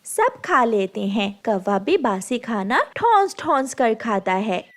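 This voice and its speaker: A woman's voice: a little high, big pitch swings, slow. A woman giving scripted narration for a cartoon moral story.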